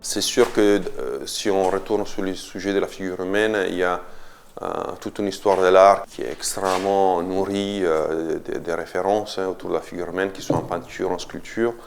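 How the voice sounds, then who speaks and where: A man's voice: low-pitched, steady tone, moderate pace, creaky, a little hiss or hum. A man, a sculptor speaking French in a documentary interview, thoughtful and hesitant, often repeating words as he searches for them. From Italy. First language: Italian.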